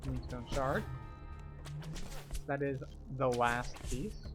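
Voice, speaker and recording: A man's voice: medium pitch, steady tone, takes it slowly, over music. A man giving live gameplay commentary as a tour guide, explaining things step by step. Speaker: game streamer and tour guide.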